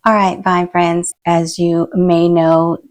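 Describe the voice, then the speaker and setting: A woman with a hoarse, fading voice, chatting casually and softly as a plant channel host while she repots. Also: on the low side, slow, slight background noise. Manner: relaxed, soft-spoken, many fillers. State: hoarse, losing her voice. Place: indoor plant room.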